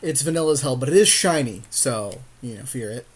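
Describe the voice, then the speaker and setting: A man's voice: medium pitch, expressive, brisk, husky. Slangy, agreeable man commentating a game. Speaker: podcast co-host commentating on a card game. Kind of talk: casual gameplay commentary.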